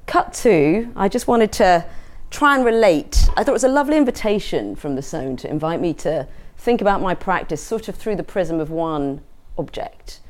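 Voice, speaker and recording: A middle-aged southern English woman with a notably low voice. She gives a personal talk to an audience, speaking conversationally and reflectively, as an artist discussing her own practice. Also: lively intonation, moderate pace, a little mellow. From England.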